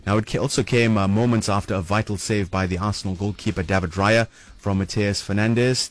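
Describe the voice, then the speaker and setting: A man with a South African accent reading the news as anchor in a measured, formal way. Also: on the low side.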